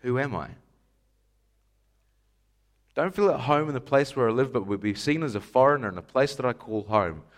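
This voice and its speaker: New man preaching with animated pitch. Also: normal speed, long pauses. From Scotland. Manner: emphatic rhetorical questions, rising to stressed lists. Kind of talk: sermon.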